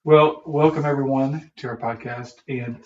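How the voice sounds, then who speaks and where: A relaxed man co-hosting a podcast, talking easily and laughing often. The sound is roomy and dull, as if he sits away from the microphone, with a faint hiss behind. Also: mid-range voice, moderate pace, recorded from a distance. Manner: easygoing, warm, laughs often. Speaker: podcast co-host. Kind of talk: casual chat.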